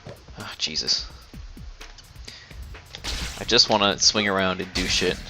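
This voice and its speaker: A man's voice: low voice, a little slow, music in the background. A young man giving casual, sarcastic gameplay commentary, with some swearing. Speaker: let's play host.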